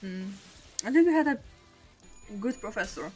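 A woman's voice: medium pitch, animated, normal speed, a little mellow, soft background music. A young woman from Poland with a Polish accent, speaking English as a second language and chatting casually while streaming a game, rambling and self-mocking. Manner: rambling, self-mocking, laughs often between thoughts. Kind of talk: casual chat over gameplay.

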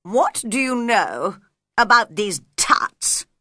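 A bossy storybook king, acted out by a woman, blustering and commanding. The voice is forceful and lively, hammering out each order with emphasis. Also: on the high side, slow, no room sound, studio quality, exaggerated voice.

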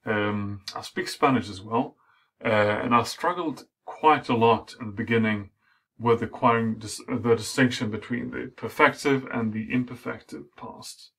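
A middle-aged man, a native Swedish speaker, giving an academic lecture in a measured way with frequent fillers. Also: medium pitch, creaky, fairly bright tone, slightly rough.

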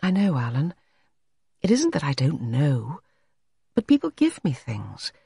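A reader voicing an anxious, meek woman in an audiobook story, halting and apologetic as she tries to please. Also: mid-range voice, wildly swooping pitch, gravelly, warm tone, breathy edge, dry recording, studio quality, heavily acted.

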